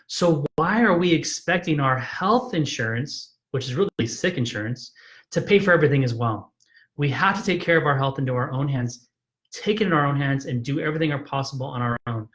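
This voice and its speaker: A man's voice: a little high, a bit bright. A man, a chiropractor, giving an emphatic, persuasive health talk in a live video, frustrated and repeating himself with frequent "right?" tags.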